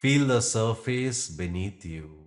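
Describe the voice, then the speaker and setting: A man guiding a meditation as a yoga nidra teacher, speaking in gentle instructions with long pauses. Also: lowish voice, animated. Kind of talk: guided meditation.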